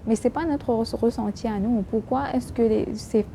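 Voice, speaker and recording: A woman vlogger speaking French with a strong non-native accent as an English speaker. She talks halting and earnest, restarting her sentences often. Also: on the high side, vocal fry, dark tone, slight background noise. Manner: halting, many restarts and fillers. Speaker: YouTube vlogger. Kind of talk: casual talk to camera.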